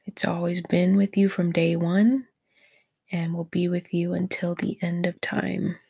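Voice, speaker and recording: A woman leading a guided meditation in a soft, breathy, near-whispered voice, gentle and encouraging toward the listener. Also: fairly low pitch, flat delivery, a little slow. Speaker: meditation guide, podcast host.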